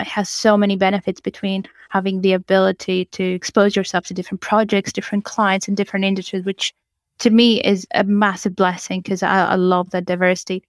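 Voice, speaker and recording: Smooth-voiced Greek-Italian woman guest. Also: mid-range voice, no room sound. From Greece and Italy. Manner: enthusiastic, animated, occasional laughs and restarts. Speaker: guest, change consultant. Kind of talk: podcast interview.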